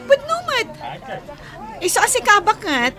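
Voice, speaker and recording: A woman's voice: very high voice, very animated, measured pace, a crowd in the background, exaggerated voice. A woman playing a vain, selfish young schemer with a haughty, whiny manner in an amateur serial drama. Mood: irritated, scornful.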